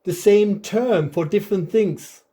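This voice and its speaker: A middle-aged man with a German accent hosts a relaxed chat, his voice pitched high but soft and dark in tone. He stops and restarts often, filling gaps with ums. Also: unhurried. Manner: hesitant, many ums and restarts. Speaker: podcast host. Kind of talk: casual interview chat.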